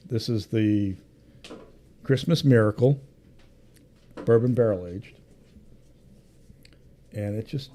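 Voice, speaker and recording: A man talking casually as a podcast guest, often halting and repeating his words. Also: on the low side, mellow. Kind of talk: casual chat.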